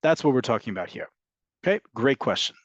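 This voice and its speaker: A man's voice: medium pitch, big pitch swings, quick pace, no echo. A patient man explains things step by step to his students, repeating and rephrasing as he goes. His voice is dry and close. The sound is a little dull. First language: English. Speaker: Hebrew teacher. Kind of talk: online class, answering questions.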